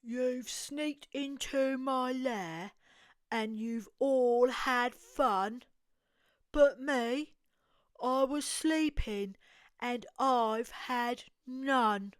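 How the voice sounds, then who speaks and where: A woman's voice: sits high, extremely slow, dry recording, voice pushed down. A woman with an English accent voicing a big, grumpy, self-pitying brown bear in a children's storybook. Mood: aggrieved, sulky.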